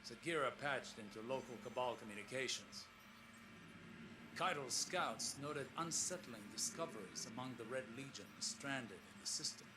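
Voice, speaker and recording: A man performs a solemn, scholarly space explorer dictating a log for a video game. He is weary and troubled, choosing formal words slowly and gravely, with brooding pauses. Clear background noise surrounds his voice. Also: mid-range voice, expressive, natural voice. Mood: grave, concerned. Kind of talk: scripted audio log in a science fiction game.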